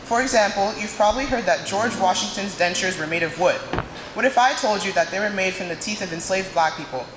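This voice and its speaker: A young woman from Nigeria, giving a prepared student speech in a dramatic, emphatic way with pauses. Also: mid-range voice, animated. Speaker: student speaker. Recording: echoey hall, noisy room sound.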